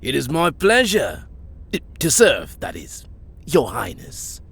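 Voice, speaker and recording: A gravelly, bright-edged baron, rough around the edges and jovial. He talks in a folksy, overfamiliar way, with pitch bouncing all over the place, as a new noble in a fantasy audio drama. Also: wildly swooping pitch, takes it slowly, heavily acted. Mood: eager, deferential. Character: uncouth, jovial newly made fantasy baron.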